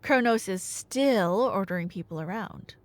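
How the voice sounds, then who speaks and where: A woman playing a nerdy girlfriend in an ASMR roleplay, telling Greek myths conversationally with playful asides and dramatic pauses. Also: mid-range voice, lively intonation, lightly acted. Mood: dramatic storytelling.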